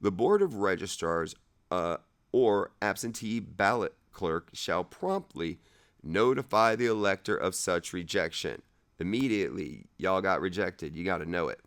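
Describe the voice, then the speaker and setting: A man reading legal text aloud haltingly, rereading and correcting himself, with irreverent, offhand commentary of his own. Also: low-pitched, expressive, fairly bright tone. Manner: irreverent, sarcastic asides. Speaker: amateur reader of a legal document.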